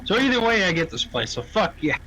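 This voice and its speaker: High-voiced blunt, sweary young-sounding gamer man. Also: very animated, a little fast, bright, forceful tone, poor audio. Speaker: roleplay gamer. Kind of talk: casual voice chat during game roleplay.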